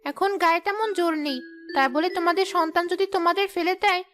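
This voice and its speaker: Very high-pitched, pleading village woman played by an actress. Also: quick pace, a bit bright. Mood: reproachful, insistent. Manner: polite, pleading. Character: pleading village woman, sweet-shop keeper, gently insistent stranger. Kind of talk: cartoon story acting. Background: music.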